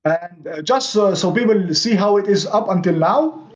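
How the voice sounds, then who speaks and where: A man's voice: sits high, animated, moderate pace. A man from Palestine speaking English with an Argentinian accent, talking as a livestream guest in a hesitant way, with many fillers and restarts. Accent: Argentinian Spanish. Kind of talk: casual livestream conversation. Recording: dull lo-fi call audio.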